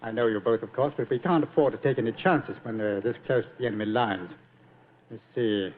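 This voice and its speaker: An actor playing a polite, efficient army captain, a general's aide, in an old radio drama. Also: male voice, medium pitch, a little fast, natural voice. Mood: politely officious. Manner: polite, officious. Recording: narrow old radio recording, faint noise.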